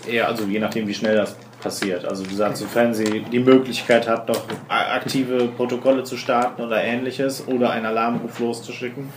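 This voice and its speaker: A man's voice: mid-range voice, little pitch movement, brisk, few pauses. A man running a tabletop role-playing game, narrating off the cuff and chatting with his players. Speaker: game master. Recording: roomy, echoey room with clear background noise.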